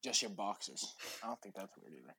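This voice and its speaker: A man's voice: lowish voice, lively intonation, fairly quick, crowd noise. A young man with a lisp, rambling and swearing in a casual podcast chat with a friend. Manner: rambling and profane, full of "like". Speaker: podcast co-host.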